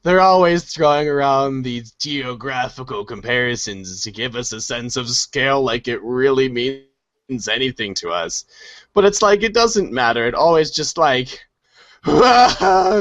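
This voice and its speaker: A man's voice: mid-range voice, lively intonation, measured pace, a bit bright, no echo. An actor playing a sardonic, rambling young man in a comic storybook reading, sarcastically acting out mock dialogue. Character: sardonic, rambling young guy mocking disaster-film clichés. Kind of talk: comic monologue in a read-along storybook show.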